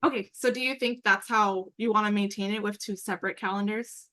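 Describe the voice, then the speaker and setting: Female meeting presenter, medium pitch, conversational and upbeat, explaining step by step on an informal online call. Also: fairly even intonation, fairly quick, bright, forceful tone. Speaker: meeting presenter and program coordinator. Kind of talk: informal work call presentation with screen walkthrough.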